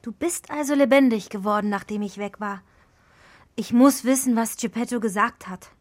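A woman plays a forest fairy in a children's radio play. She has a fairly high voice and a blunt, bossy, matter-of-fact manner with a touch of theatre. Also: moderate pace, natural voice. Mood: insistent. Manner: dry, matter-of-fact, theatrical when casting spells.

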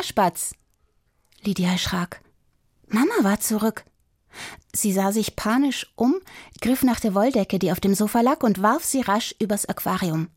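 Casting: female audiobook narrator, soft dark husky voice, expressive storytelling for children, dry close recording. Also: medium pitch, no room sound.

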